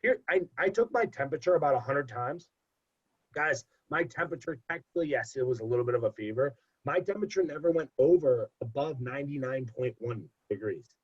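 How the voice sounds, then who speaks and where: A young man with a husky, congested voice tells a rambling story, repeating phrases for emphasis. The recording is dull and lo-fi. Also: mid-range voice, lively intonation, a little fast. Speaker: podcast guest. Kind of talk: casual storytelling. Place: home. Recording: dull lo-fi podcast audio.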